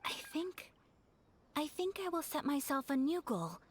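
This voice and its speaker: A woman voicing a dutiful, reserved young noblewoman who speaks softly, politely and in a measured way. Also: high voice, big pitch swings. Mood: reflective. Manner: soft-spoken, polite and measured.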